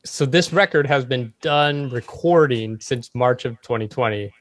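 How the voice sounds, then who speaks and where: A man's voice: medium pitch, unhurried, fairly bright tone. A man hosting a podcast, asking questions in a loose, chummy way with lots of affirmations. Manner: laid-back and chummy, frequent affirmations and laughs. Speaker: podcast host. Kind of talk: casual interview chat.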